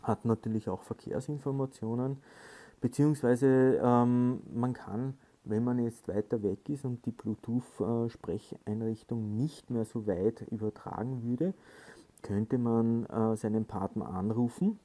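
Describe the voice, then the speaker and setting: Casual male tutorial presenter, very dark soft-edged tone, slow and hesitant delivery. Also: medium pitch, steady tone. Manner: halting, hesitant delivery with frequent filled pauses. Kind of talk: casual hands-on instructional talk.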